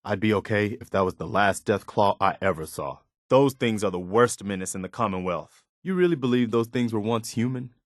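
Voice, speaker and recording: A man's voice: on the low side, lively intonation, fairly quick, hardly any pauses, no room sound, clean studio sound, close to an everyday voice. A man voicing an earnest, hopeful militiaman companion in a post-apocalyptic video game, speaking in a plain, wistful and wary manner. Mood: grim disgust.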